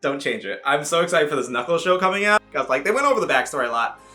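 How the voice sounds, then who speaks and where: A man giving a casual, rambling movie review in an animated, casually sweary way, as an online reviewer. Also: a little high, fast, faint music behind. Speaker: YouTube game and film reviewer.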